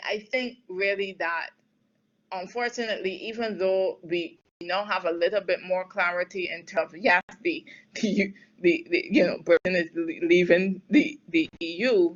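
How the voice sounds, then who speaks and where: A woman from Barbados speaks as a policy expert on a video call, her voice at a middle pitch and a bit muffled. She reasons out loud, restarting phrases and then landing points with conviction. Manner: thoughtful, many fillers and restarts, emphatic in places. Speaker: trade policy expert answering webinar questions. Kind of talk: spontaneous expert Q&A on a webinar.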